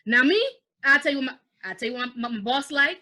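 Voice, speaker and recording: This is a young woman from the United States hosting a relaxed interview over a webcam at home. Her voice sits high and sounds bright. She talks in an animated way and switches into Haitian Creole partway through. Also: quick pace. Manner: animated, gestures while talking, mixes in Haitian Creole. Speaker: host. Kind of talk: casual video-call interview. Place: living room, indoors. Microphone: video call webcam.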